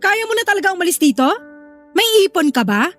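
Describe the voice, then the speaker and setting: A very high female voice with a Filipino accent , an actress as a hot-tempered big sister. She nags and challenges in melodramatic radio-drama dialogue. Music plays softly behind her. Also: sing-song, fairly quick, heavily acted. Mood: scolding. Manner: nagging, confrontational. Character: bossy, hot-tempered working older sister, scolding.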